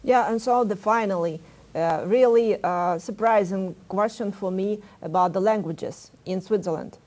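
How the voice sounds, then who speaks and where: A woman moderating a business panel, asking questions in halting speech with many fillers. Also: mid-range voice, a little mellow.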